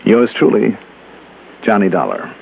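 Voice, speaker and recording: A man playing a brisk, wry, self-assured insurance investigator in 1960s radio drama, with a clipped delivery. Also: lowish voice, sing-song, creaky, over loud music, full character voice. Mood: breezy. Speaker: lead actor in a radio detective series. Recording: muffled, noisy old radio recording.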